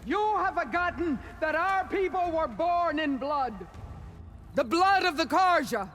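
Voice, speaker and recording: Fierce shouting warlord over battle noise. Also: female voice, extremely high pitch, lively intonation, a little slow, full character voice. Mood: accusing, wrathful. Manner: commanding, declamatory shouting. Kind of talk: dramatic video game acting. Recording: loud battle noise behind.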